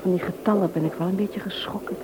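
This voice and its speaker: A woman's voice: mid-range voice, steady tone, quick pace, natural voice. An actress playing an eager, concerned married woman in a radio play, politely questioning, with a Netherlands Dutch accent. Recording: dull, noisy old radio recording.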